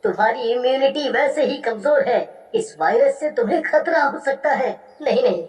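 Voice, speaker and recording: A worried, insistent cartoon husband, played by a woman. The voice sits on the high side. It sounds roomy and a little distant from the mic. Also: lively intonation, moderate pace, darkish tone. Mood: worried, firm. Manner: concerned, insistent. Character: worried, protective husband of a pregnant nurse.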